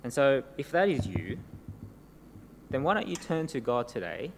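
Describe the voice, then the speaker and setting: A man's voice: mid-range voice, big pitch swings, moderate pace. A middle-aged man, born in Korea, preaching an earnest sermon to a congregation and often ending points with tag questions. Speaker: pastor. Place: church service before a congregation. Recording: long church-hall reverb, faint noise.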